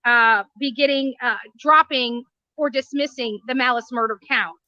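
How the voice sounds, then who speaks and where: A woman giving casual commentary on a legal case in a halting, hesitant way. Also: fairly high pitch, bright, forceful tone, dry recording. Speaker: YouTube commentator. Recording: dull lo-fi playback of video.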